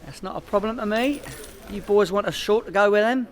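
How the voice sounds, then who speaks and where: A man plays a hopeless London petty criminal who fancies himself a gangster. His voice is fairly high, and he talks evasively and with great self-importance. It is radio comedy acting. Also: a little fast, natural voice. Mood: self-important.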